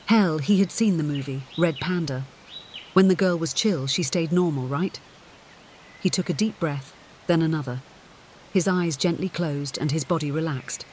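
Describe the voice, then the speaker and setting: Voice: medium pitch, moderate pace, a little mellow, slight background noise. An audiobook narrator reading a scripted story in the third person.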